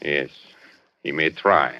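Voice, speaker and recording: An actor playing a stern, formal police colonel, questioning with authority in 1940s radio suspense style. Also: male voice, a bass voice, slow pace, exaggerated voice. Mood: grave, probing. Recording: dull, hissy vintage radio recording.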